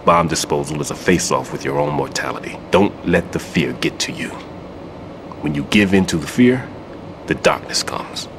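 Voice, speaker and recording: An actor playing a gruff, world-weary veteran demolition expert who speaks in a grave, measured, guarded way in a video game. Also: male voice, rumbling low, background noise. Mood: calm, wary. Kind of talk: dramatic game voice acting.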